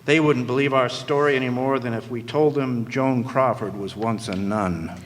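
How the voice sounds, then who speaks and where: An actor playing a wry, world-weary hard-boiled private eye, delivering deadpan, wordy lines in a noir radio play. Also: male voice, mid-range voice, moderate pace, natural voice. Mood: sardonic. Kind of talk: film noir radio detective acting. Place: live performance in a hall. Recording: echoey hall stage, faint noise.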